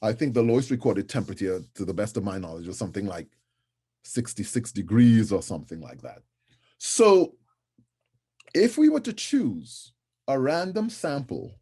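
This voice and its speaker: A middle-aged man from Trinidad and Tobago giving a conference lecture in a conversational way, often checking in with "right?" and filling pauses with "um". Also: mid-range voice, mellow. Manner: conversational, with frequent tag "right?" and fillers.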